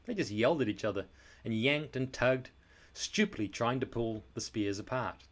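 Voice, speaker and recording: A man reading a children's story aloud in a dramatic, expressive and deliberate narration style. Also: medium pitch. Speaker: storybook reader.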